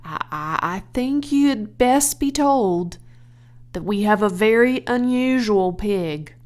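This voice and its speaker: A woman voicing an earnest, awestruck farmer man in a storybook, grave and halting in speech. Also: medium pitch, animated, darkish tone. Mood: shaken, solemn. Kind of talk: children's storybook character voice.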